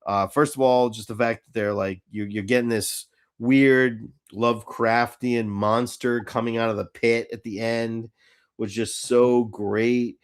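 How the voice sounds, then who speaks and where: A man's voice: medium pitch, fairly even intonation, unhurried, clear, resonant tone. A man hosting a live fan show, chatting casually about cartoons in a blunt, irreverent way with some swearing.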